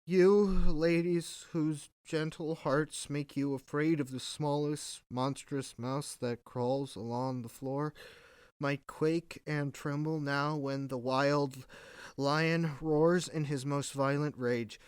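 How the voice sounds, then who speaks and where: Man acting careful, smooth-voiced amateur stage lion. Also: on the high side, steady tone, takes it slowly, no echo. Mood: earnest, reassuring. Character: timid carpenter playing a polite, reassuring stage lion. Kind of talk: modernized Shakespeare audio drama comedy.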